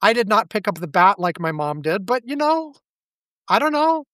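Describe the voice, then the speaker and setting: A man co-hosting a casual podcast chat, speaking with a scratchy throat and stuffy head, rambling and halting. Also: sits high, very animated, a bit bright, no room sound, studio quality. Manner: rambling, halting, self-deprecating. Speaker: podcast co-host.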